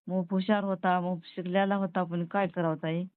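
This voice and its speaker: Grieving woman, flat plaintive phone voice. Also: lowish voice, moderate pace, clean tone, dry recording. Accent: Marathwada Marathi. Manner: plaintive, repetitive. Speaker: bereaved mother. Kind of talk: lamenting phone conversation. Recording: tinny phone call recording.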